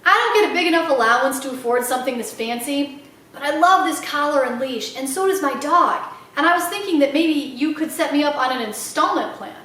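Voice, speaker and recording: A woman's voice: sits high, expressive, a little fast, fairly bright tone, recorded from a distance. A woman voicing an earnest, polite ten-year-old girl, sincere and pleading, in a children's storybook reading. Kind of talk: children's storybook read-aloud. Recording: echoey room.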